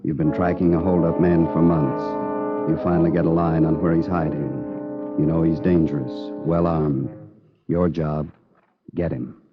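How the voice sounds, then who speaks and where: A man's voice: extremely low pitch, flat delivery, normal speed. A man with an American accent delivering terse, grave narration in 1950s crime radio style. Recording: dull old radio recording. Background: loud dramatic music.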